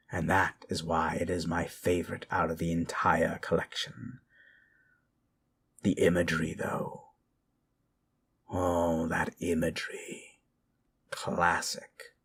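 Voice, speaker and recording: A man playing a gentle, brooding teller of spooky tales in a slow, hushed, dramatic manner over music. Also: low-pitched, vocal fry, slight background noise. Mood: reverent. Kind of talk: in-character horror storytelling.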